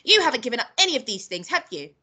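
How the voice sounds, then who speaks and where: A woman's voice: fairly high pitch, big pitch swings, racing, bright, forceful tone, slightly rough, pitched above the performer's own voice, full character voice. A woman voicing a wicked, snappish little old grandmother in a storybook, bossy, scolding and relishing nasty things.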